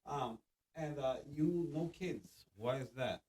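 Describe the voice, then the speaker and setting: A man's voice: mid-range voice, takes it slowly, darkish tone, a little hiss or hum, rough recording. A man in his thirties, a podcast guest, chatting casually, laughing often and swearing freely. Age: 30s. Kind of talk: casual chat.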